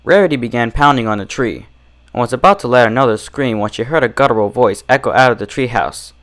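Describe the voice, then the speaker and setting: A man with an expressive, deliberate presenter delivery, reading scripted narration and commentary as a channel host. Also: medium pitch. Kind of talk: scripted fan fiction narration and review.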